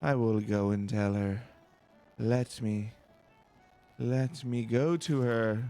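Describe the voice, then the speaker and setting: A man's voice: mid-range voice, slow, dark tone, soft background music. A man voicing a bewitched, desperate prisoner in a video game, pleading obsessively. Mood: desperate, entranced.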